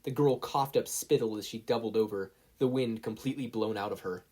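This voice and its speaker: Husky-voiced storyteller reading scripted fiction. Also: mid-range voice, fairly quick, a little mellow. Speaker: narrator, podfic reader.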